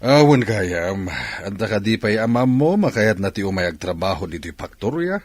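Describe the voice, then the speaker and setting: A man acts out a businesslike factory boss in a radio drama. His low voice swings widely in pitch, with an authoritative Filipino-accented delivery. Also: big pitch swings, moderate pace.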